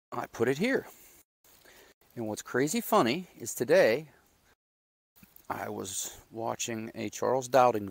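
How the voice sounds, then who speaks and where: A man with a deep, gravelly voice that is a bit raspy after a loud night out. He chats in a loose, self-deprecating way, wandering from thought to thought. He is recorded on a lapel mic outdoors in a backyard garden. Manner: rambling, self-deprecating, chatty. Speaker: gardening vlogger. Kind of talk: casual chat while doing garden chores.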